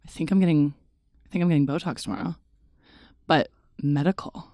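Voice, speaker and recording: A young woman with a naturally low, raspy voice trades jokes and stories as a podcast co-host. She chats in a bubbly, playful way. The sound is close and dry, with no room around it. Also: a little fast, dark tone, dry recording. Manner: bubbly, jokey, laughs often. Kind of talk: casual chat.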